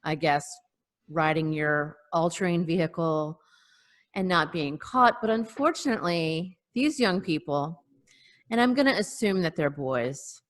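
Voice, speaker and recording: A woman co-hosting a casual chat on a video call, telling local news in a hesitant, pausing way. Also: low voice, animated. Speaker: podcast co-host.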